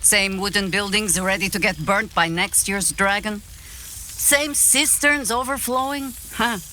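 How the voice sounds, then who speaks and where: A slow, wry, seasoned heroine. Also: female voice, mid-range voice, sharp tone, a little hiss or hum. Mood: wistful, wry. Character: seasoned wry woman adventurer with grown children, gruffly fond. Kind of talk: video game dialogue acting.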